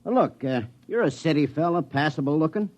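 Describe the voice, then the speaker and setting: Casting: male actor as a folksy, grudging hillbilly father, rural American, fairly high-pitched, vintage radio sound. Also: lively intonation, fairly quick, a little mellow, close to an everyday voice. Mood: wheedling, grudging. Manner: folksy, laconic drawl. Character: weary, grudging backwoods father, slow and blunt. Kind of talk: 1950s radio drama acting. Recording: dull vintage radio recording.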